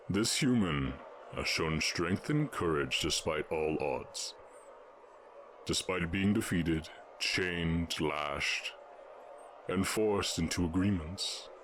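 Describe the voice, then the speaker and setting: A man voicing a stern, imposing orc warchief in a fantasy audio roleplay, speaking in deliberate, imperious proclamations. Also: low-pitched, slight background noise.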